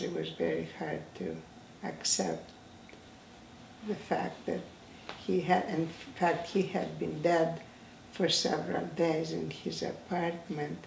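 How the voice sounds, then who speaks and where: An elderly woman in her eighties, from Greece, recalls her life in an interview, slow and halting with frequent restarts. Her voice has a gravelly edge. She sits in a room, picked up from a distance with a roomy echo. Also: low voice, a little mellow, a little hiss or hum. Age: 80s. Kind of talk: oral history interview. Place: indoor room, seated in a chair. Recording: roomy, slightly distant sound.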